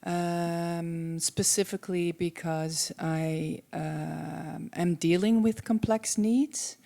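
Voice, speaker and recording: Woman, native Dutch speaker, panel participant. Low, very mellow voice, considered and articulate, speaking into a handheld mic. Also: steady tone, slow pace, creaky. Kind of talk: personal reflection at a public panel. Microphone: handheld microphone.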